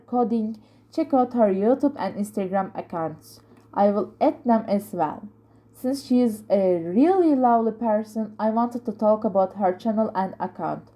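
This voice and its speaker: A woman with a Turkish accent and a soft-edged, mellow tone. She talks casually as a vlog host, reading out viewers' answers, earnest and a little halting as she corrects herself. Turkish is her native language. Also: mid-range voice. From Turkey.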